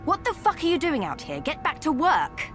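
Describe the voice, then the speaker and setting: A woman's voice: high-pitched, wildly swooping pitch, normal speed, over music. An actress playing a ruthless, commanding game villainess who drives her workers with menace. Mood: furious.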